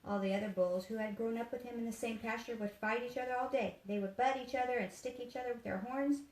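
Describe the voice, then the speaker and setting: A woman reading a children's story aloud in an expressive, simple storytelling manner, as if to young listeners. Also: a little high, fast talker. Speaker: therapy dog handler and volunteer reader. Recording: echoey room with faint background noise.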